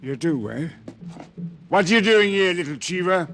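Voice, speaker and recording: Brusque, sneering guard. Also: male voice, high-pitched, animated, moderate pace, fairly bright tone. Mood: suspicious, mocking. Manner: challenging, mocking. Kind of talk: 1950s radio drama acting. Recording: old radio recording.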